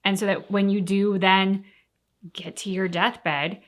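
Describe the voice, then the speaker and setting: A woman's voice: mid-range voice, level tone, takes it slowly. A woman co-hosting a reaction show, talking casually and reflectively in an earnest, thinking-aloud manner.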